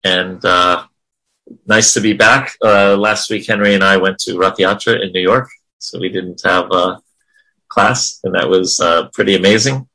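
A man's voice: deep voice, fairly even intonation, unhurried, sharp tone, poor audio. A man leading an informal religious class in an encouraging, affirming way. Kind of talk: informal spiritual class discussion.

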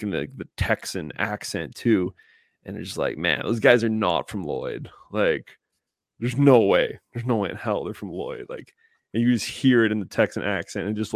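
A man's voice: lowish voice, no room sound, studio quality. Laid-back man telling a rambling story. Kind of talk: casual chat.

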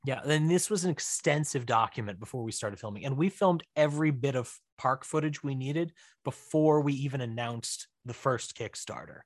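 A man speaking as an interview guest, telling behind-the-scenes filmmaking stories in a casual, animated, chatty way. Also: medium pitch, moderate pace, hardly any pauses, no room sound, clean studio sound.

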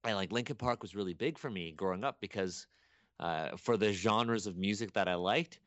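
A young man from Canada, a guest chatting casually on a podcast, rambling and animated with many restarts. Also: on the low side, moderate pace, no echo. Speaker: guest archaeologist.

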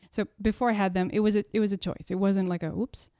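Mellow-voiced chatty woman from Quebec. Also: medium pitch, fairly even intonation, fast, clear, resonant tone, no room sound, clean studio sound. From Quebec, Canada. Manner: chatty, laughs often, acts out quotes. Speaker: interview guest, artist. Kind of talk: casual conversation.

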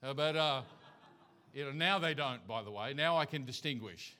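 Bright-voiced Australian missionary preacher. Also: male voice, medium pitch, moderate pace. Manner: conversational, many fillers and restarts. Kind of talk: storytelling sermon.

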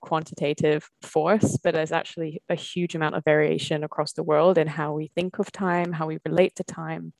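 A woman's voice: low voice, creaky, a little mellow, no room sound, rough recording. A woman, co-hosting a podcast and joining the discussion in a thoughtful, conversational way. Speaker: podcast co-host. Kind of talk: casual discussion.

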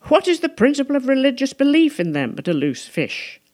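A woman's voice: sits high, sing-song, brisk, a little mellow. Woman reading a classic novel aloud. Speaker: audiobook reader. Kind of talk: scripted literary narration, audiobook reading.

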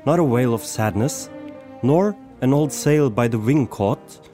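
A man's voice: medium pitch, animated, unhurried, dark tone, natural voice. A man playing a sentimental, solemn amateur poet from a rock band in a radio play. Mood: solemn, stirring. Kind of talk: amateur radio play acting, poetry recital. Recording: music behind the voice.

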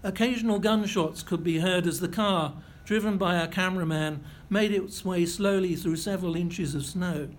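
An older man giving a lecture, reading out a first-hand account in a measured, precise way with a few self-corrections. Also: sits high. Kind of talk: reading a prepared memoir-style lecture.

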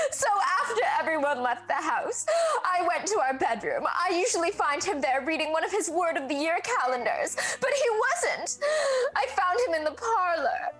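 A woman playing a melodramatic wealthy widow in a comedic radio play, breaking between sobs and laughter, haughty and shrill. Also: a bit bright, full character voice. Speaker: student comedy performer.

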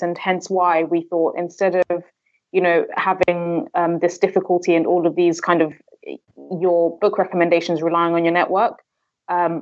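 A woman, a former journalist and company co-founder, speaking in a measured, slightly hesitant way in an interview. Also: on the low side, darkish tone. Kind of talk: interview conversation. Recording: dull, muffled sound.

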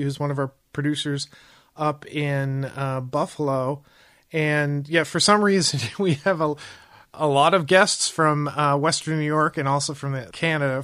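A man's voice: fairly high pitch, fairly bright tone, no echo, clean studio sound. A man hosting a journalism podcast, asking rambling, hesitant questions full of fillers. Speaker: podcast host. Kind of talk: podcast interview.

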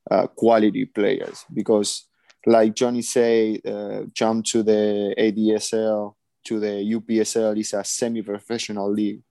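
A man in his thirties from Argentina, a former pro futsal player, speaking halting second-language English with many pauses. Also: lowish voice, little pitch movement, vocal fry, dark tone, dry recording. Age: 30s. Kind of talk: casual panel chat.

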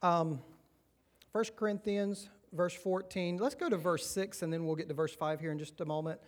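A man with a Southern American accent preaches in a church, and his voice sits high in pitch. He keeps the sermon conversational and often checks in with the congregation through questions. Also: steady tone, normal speed. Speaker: pastor.

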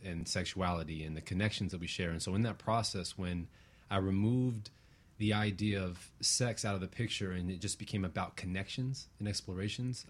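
A man answering as a talk show guest, reflective and conversational, searching a little for his words. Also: sits low.

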